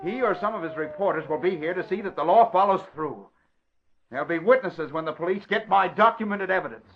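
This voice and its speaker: A man's voice: high-pitched, expressive, fairly quick. A man playing a hard, triumphant gunman guarding a captive in 1940s radio acting style. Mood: triumphant, stern. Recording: dull, noisy old radio broadcast.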